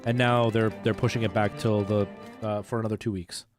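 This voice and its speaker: A man's voice: on the low side, flat delivery, fairly quick. A man, chatting casually as he streams a retro video game. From Canada. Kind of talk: casual chat while playing a retro game on a livestream. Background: faint game music.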